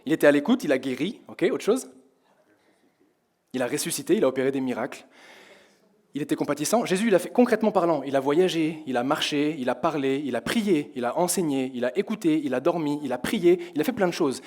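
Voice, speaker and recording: Hoarse young guy preaching in a church hall, chatty, keeps asking the room questions, headset mic. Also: on the high side, lively intonation, a little fast, a little mellow. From France. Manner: conversational, asks the congregation questions. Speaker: pastor. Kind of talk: sermon. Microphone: headset with in-ear monitor.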